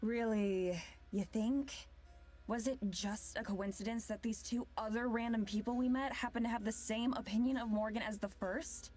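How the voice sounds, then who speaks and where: Woman playing skeptical young female traveler, highish voice, exasperated and sarcastic, video game dialogue with light music behind. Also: fairly even intonation, normal speed, a little mellow, close to an everyday voice. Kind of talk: visual novel voice acting.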